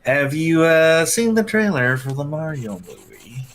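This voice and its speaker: A man's voice: fairly high pitch, animated, a little slow, fairly bright tone, slight background noise. A man chatting casually over a voice call, laconic and teasing. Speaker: streamer's husband joining the call. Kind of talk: casual chat. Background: music.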